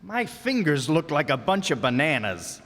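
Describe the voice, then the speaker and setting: A man's voice: fairly high pitch, sing-song, moderate pace, a bit bright. A man voicing a harried, put-upon salesman husband who grumbles and wisecracks in a live radio play. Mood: grumbling. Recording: live stage with hall reverb.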